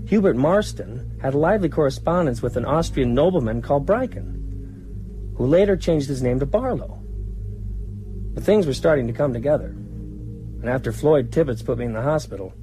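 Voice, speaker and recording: An actor in a radio drama playing a weary man who recounts a fight against evil, reflective and halting. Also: mid-range voice, lively intonation, mellow, lightly acted, low-quality recording. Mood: grave, reflective. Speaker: narrator telling his story to a priest. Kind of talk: radio drama acting, confessional narration. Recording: radio drama with music bed.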